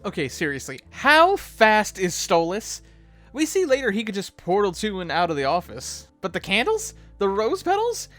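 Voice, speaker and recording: Animated high-pitched man commentating. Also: normal speed, soft background music. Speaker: co-host of a fan commentary show. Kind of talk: scripted back-and-forth commentary.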